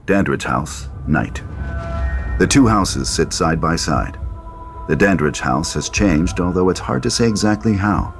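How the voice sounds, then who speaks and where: A man with a very deep voice reads horror stage directions as a narrator. His scripted delivery sits over clearly audible music. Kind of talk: scripted screenplay narration, horror table read. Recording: loud music under the voice.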